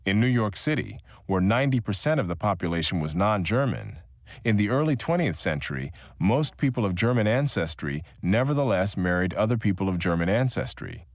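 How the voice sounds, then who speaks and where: A man reading a history audiobook in a measured, even-toned narration. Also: fairly low pitch, dry recording, studio quality.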